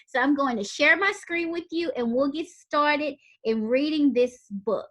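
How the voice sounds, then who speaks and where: High-voiced woman teacher, unhurried. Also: a bit bright. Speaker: elementary school teacher. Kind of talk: read-aloud lesson for young children.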